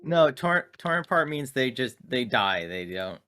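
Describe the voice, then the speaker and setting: A man's voice: mid-range voice, sing-song, unhurried, pushed and bright. A man playing a tabletop roleplaying game, casually explaining rules and narrating his character's moves.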